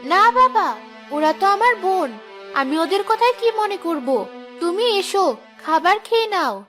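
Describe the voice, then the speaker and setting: A woman voicing a meek, forgiving youngest village sister in a children's cartoon, soft-hearted and dutiful. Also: extremely high pitch, very animated, measured pace, over music, full character voice.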